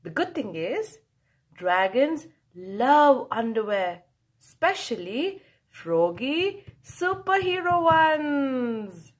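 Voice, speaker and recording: A woman reading a picture book aloud to children, expressive and playful, drawing out key words for emphasis. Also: high voice, slow pace. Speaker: children's storyteller.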